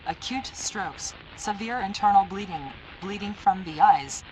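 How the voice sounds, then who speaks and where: A synthetic female voice playing a stern, impersonal emergency alert announcer, reading formal, deliberate warnings in an analog horror broadcast. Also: mid-range voice, expressive, a little mellow, slight background noise, close to an everyday voice, low-quality recording. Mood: grave, commanding.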